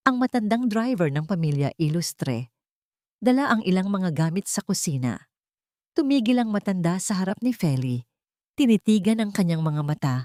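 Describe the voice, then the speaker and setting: A woman with a slightly low voice narrates a scripted drama. Her delivery is that of a storyteller, and the recording is dry and close. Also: darkish tone, no echo.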